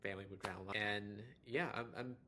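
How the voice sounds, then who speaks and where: Rambling young American man, low voice. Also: steady tone, quick pace, bright, forceful tone, slight background noise. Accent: General American. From United States. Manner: rambling, many fillers and restarts. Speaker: dating vlogger. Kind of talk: casual talking-head monologue.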